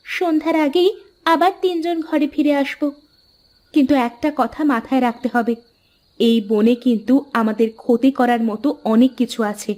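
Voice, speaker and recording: A woman's voice: high-pitched, a little mellow, lightly acted. A woman playing a devoted, brave young village wife in a cartoon moral tale, speaking in an earnest, dramatic way. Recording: music under the voice.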